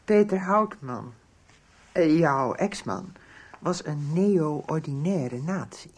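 An actress playing a sharp, accusing, anxious middle-aged mother in a radio soap, with a husky voice. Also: low-pitched, lively intonation, slow pace, exaggerated voice. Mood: accusing, sarcastic.

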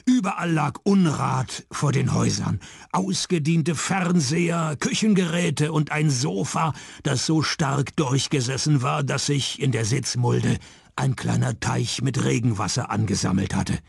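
An actor playing a cynical, flirtatious private detective in a radio play, deadpan and sardonic. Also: male voice, medium pitch, a little slow, creaky, husky, no room sound, exaggerated voice. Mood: wry, laconic. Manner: deadpan, sardonic, dry wit. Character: cynical, flirtatious male private detective narrating his case. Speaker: narrator and lead character. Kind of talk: radio play narration and dialogue. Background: music.

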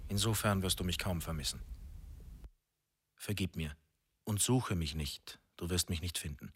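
An actor playing an intense, brooding man with a husky voice in a radio drama. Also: low-pitched, moderate pace, noisy background, natural voice. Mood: grave, resigned. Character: grown man, anxious father, intense and brooding.

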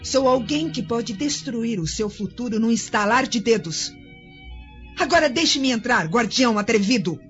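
An actress playing a haughty, threatening young Roman mistress in a radio drama. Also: female voice, a little high, animated, normal speed, close to an everyday voice. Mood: imperious, scornful. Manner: imperious, scornful. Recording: dull lo-fi audio with music behind.

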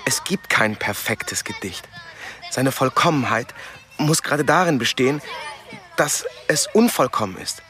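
An actor playing an intense, brooding schoolboy poet in a radio drama, speaking in an impassioned, declamatory way. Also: male voice, mid-range voice, animated, a little slow, pushed and bright. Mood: feverish, obsessed. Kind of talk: German radio drama acting.

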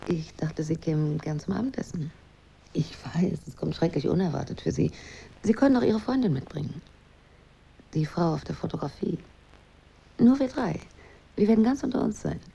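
An actress playing a pensive, newly separated woman in a radio drama, musing in a breathy voice that drifts toward a whisper. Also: low voice, animated, moderate pace, long pauses, warm tone, heavily acted, rough recording. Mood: eager, inviting. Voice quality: husky, creaky.

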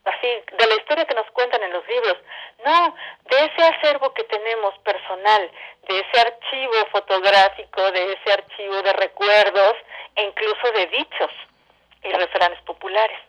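A woman's voice: mid-range voice, moderate pace, a bit bright, dull audio. Woman explaining in a radio interview. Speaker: interview guest, theatre director.

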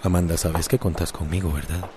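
A Costa Rican man playing a gentle, supportive companion in a radio drama, coaxing and soothing. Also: deep voice, fairly even intonation, fairly quick, noisy background, close to an everyday voice. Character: gentle, supportive man calming a loved woman.

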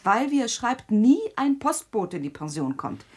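An actress playing a worried, practical and insistent sister in a German radio drama. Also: female voice, a little high, sing-song, moderate pace, a little mellow, husky. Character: worried, suspicious, practical sister urging caution.

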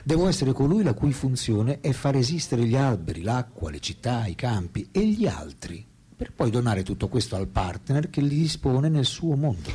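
An actor playing an ageing, self-absorbed philosopher in a radio drama, speaking lines in a theatrical, deliberate, emphatic way. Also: male voice, mid-range voice, sing-song, dark tone, husky. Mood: intense, declaiming. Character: famous philosopher as old man, wry, intense, self-absorbed.

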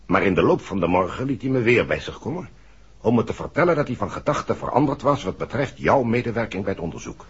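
An earnest, uneasy man confiding in a 1960s radio play. His deep voice is markedly husky and rises and falls in lively fashion. The old recording sounds dull. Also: quick pace, heavily acted. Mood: apologetic, earnest. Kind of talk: 1960s Dutch radio drama acting.

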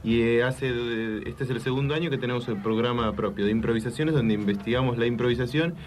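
A man's voice: medium pitch, quick pace, a little hiss or hum. A man, a guest actor explaining his work in a radio interview.

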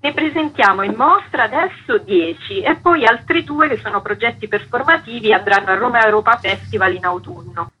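A woman with a slightly husky voice, an interview guest explaining her curatorial work in full answers. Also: fairly high pitch, moderate pace, hardly any pauses, lo-fi sound, a little hiss or hum.

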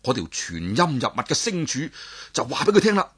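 Lively husky Cantonese male storyteller. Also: medium pitch, brisk. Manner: lively, dramatic storyteller with sudden exclamations and voiced characters.

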